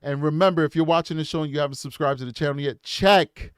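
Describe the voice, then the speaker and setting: Male livestream host, hoarse, slightly high voice, upbeat and repetitive, dry close mic. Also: fast, no echo. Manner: upbeat, repeats himself, frequent ums. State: hoarse, losing his voice. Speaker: true crime livestream host. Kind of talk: casual livestream chat reading super chats.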